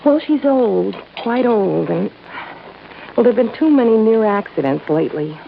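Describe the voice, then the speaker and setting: Anxious young woman, creaky voice. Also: medium pitch, lively intonation. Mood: worried, earnest. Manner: halting, earnest, breaking off mid-thought. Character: anxious, hesitant young woman worried for her aunt. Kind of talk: old time radio drama acting. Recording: dull, faintly hissy old radio recording.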